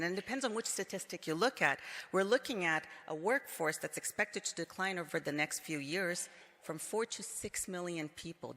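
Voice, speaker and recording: A company executive, a man who grew up in Brazil, speaks at a conference in a measured, explanatory way, often pausing on "um." His voice is bright and a little higher than most men's. The hall around him leaves a long reverb on every word. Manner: measured, explanatory, frequent um fillers. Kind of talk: conference presentation.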